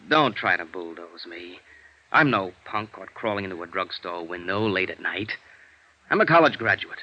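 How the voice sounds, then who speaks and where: An American man acting a defiant, bitter, educated embezzler held in jail, agitated and defensive, in a vintage radio drama. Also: low voice. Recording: dull lo-fi vintage radio recording.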